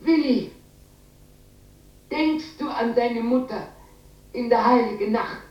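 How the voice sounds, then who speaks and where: A woman's voice: a little high, slow pace, far from the mic. An actress playing a lonely, coaxing older mother in a radio play. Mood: wistful. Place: large echoing empty hall. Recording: muffled, very echoey, noisy radio recording.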